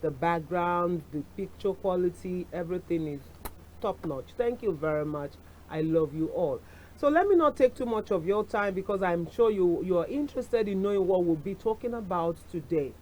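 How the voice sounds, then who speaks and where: A woman's voice: on the low side, expressive, moderate pace, low-quality recording. Chatty Nigerian woman hosting a TV show. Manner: chatty, warm asides, switches into Yoruba phrases. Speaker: TV show host. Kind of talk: casual chat to camera.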